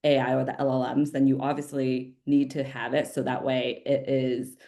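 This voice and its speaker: A woman's voice: rumbling low, level tone, moderate pace, vocal fry, dark tone. A woman whose first language is Vietnamese, a social media marketer talking loosely and casually on a panel. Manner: conversational, loose, with fillers and casual swearing.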